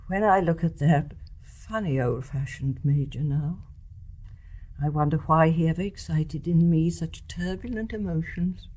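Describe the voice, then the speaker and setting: An older actress playing a wise, tolerant elderly mother in a radio play, speaking in a reflective, genteel, gently reasoning manner. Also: low-pitched, unhurried. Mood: wistful reminiscence. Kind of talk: measured radio drama acting.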